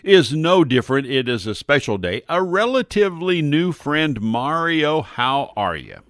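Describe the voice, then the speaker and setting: A man's voice: fairly high pitch, very animated, a little slow, a bit bright, dry recording, studio quality. A man hosting a radio talk show as a dentist, upbeat and playful, speaking straight to his listeners.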